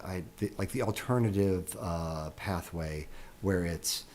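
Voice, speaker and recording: Man, very deep, halting, many restarts, faint background noise. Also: a little mellow. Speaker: school board member. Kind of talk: questions at a board meeting.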